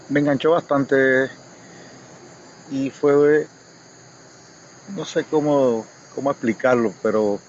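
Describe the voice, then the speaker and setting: A man from Cuba with a Cuban accent, recalling his own life haltingly and reflectively as a guest in a casual conversation. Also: medium pitch. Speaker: guest reader. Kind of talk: personal recollection in casual conversation. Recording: dull lo-fi audio, faint noise, insects.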